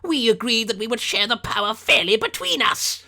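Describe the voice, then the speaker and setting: A man voicing a shrill, indignant old witch in a storybook, with a creaky voice and theatrical, drawn-out delivery. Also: animated, bright, forceful tone, heavily acted. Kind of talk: audiobook character voice.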